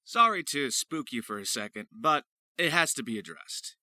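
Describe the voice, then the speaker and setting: Very bright, animated male video host. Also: mid-range voice, moderate pace, a little hiss or hum. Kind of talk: scripted video narration with playful showmanship.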